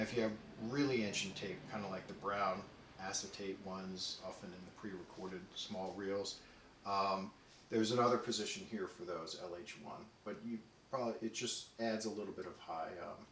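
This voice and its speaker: A man casually demonstrating a tape deck, talking in a relaxed way with many ums and restarts. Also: fairly low pitch, slight background noise. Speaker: audio equipment reviewer. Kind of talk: casual product demo. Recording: roomy echo with faint music.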